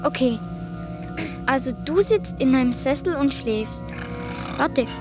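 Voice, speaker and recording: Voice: high-pitched, expressive, slow, music in the background. An actor in a German radio play voicing an eager child who earnestly play-acts and directs the game. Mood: brisk, commanding.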